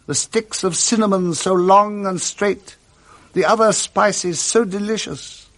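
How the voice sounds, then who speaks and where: Male British narrator, 1960s radio drama style: higher-set voice, expressive, savouring literary storytelling. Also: unhurried.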